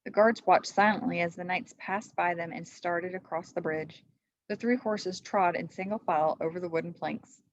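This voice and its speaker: A woman's voice: lowish voice, steady tone, normal speed, no echo. A woman reading a children's storybook aloud as a teacher would, in a slightly muffled recording. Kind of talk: storybook read-aloud narration.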